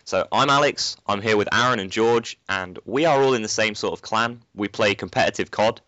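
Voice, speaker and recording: A man's voice: fairly low pitch, moderate pace, sharp tone, dry recording, dull audio. A teenage boy hosting a casual gaming commentary, chatty and rambling with lots of filler phrases. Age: teens. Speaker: channel host, gamer.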